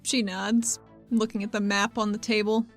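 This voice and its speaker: A woman's voice: mid-range voice, flat delivery, moderate pace, soft background music. A woman playing a tabletop roleplaying game, chatting casually at the table in a wry, sweary, informal way.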